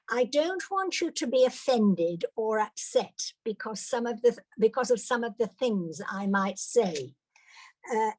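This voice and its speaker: A woman's voice: on the high side, darkish tone, no room sound, poor audio. An older British woman giving a personal talk to an audience, hesitant, with many fillers and restarts. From Britain. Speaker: guest speaker.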